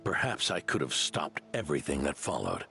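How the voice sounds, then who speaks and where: A very low, creaky old knight in a fantasy game. He speaks in a measured, dignified way with expressive rises and falls, reflective and genial. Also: faint music behind, exaggerated voice. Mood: somber, regretful. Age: older. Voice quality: gravelly. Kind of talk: video game character acting.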